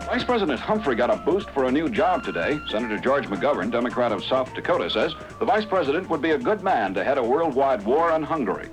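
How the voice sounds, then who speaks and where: A man's voice: medium pitch, big pitch swings, fast talker, hardly any pauses, music in the background, low-quality recording. A man reading a scripted news bulletin as a newsreader.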